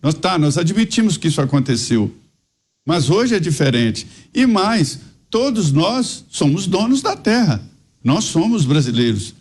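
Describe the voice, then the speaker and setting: A man's voice: a little high, big pitch swings, a little slow, slightly rough. Emphatic mineiro man arguing politics. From Minas Gerais, Brazil. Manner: emphatic, argumentative, rambling asides. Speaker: political commentator. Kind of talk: panel debate commentary.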